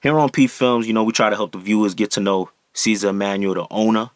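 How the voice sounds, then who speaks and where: Low-voiced New interview host. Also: male voice, steady tone, quick pace, dry recording, clean studio sound. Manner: easygoing, encouraging, slangy. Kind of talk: casual interview questions.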